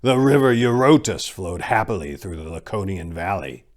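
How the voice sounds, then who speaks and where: Low-pitched man, dramatic story narrator. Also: lively intonation, normal speed. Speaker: podcast host and narrator.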